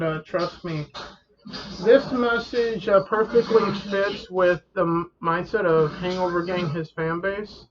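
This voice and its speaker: A man hosting a podcast, chatting casually as he introduces and reacts on his show. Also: high-pitched. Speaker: podcast host. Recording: dull sound with faint background noise.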